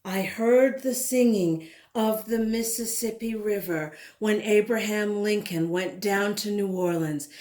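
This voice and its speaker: Woman, storybook read-aloud, unhurried pace, dramatic deliberate phrasing, roomy echo. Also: medium pitch, darkish tone. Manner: dramatic, deliberate phrasing with drawn-out words and emphatic repetition. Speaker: children's picture book reader. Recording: roomy, echoing indoor sound.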